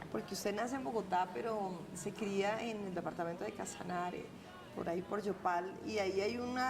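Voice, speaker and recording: A woman's voice: medium pitch, vocal fry. A woman hosting a television interview in a city café, asking her questions at length.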